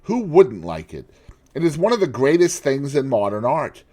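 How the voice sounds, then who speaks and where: Man voicing witty aristocratic gentleman, languid, dry, lively pitch. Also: normal speed. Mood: enthusing.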